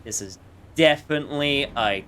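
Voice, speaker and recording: A man's voice: mid-range voice, normal speed, sharp tone, a little hiss or hum. A man giving casual gameplay commentary as a streamer, with a joking, sarcastic manner. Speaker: game streamer.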